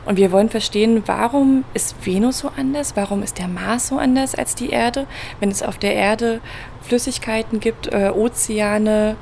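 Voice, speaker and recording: A woman's voice: fairly high pitch, moderate pace, creaky, darkish tone, a little hiss or hum. A woman from Berlin, a planetary scientist, explaining her research in a conversational interview with patient everyday examples and some fillers. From Berlin, Germany. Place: event venue.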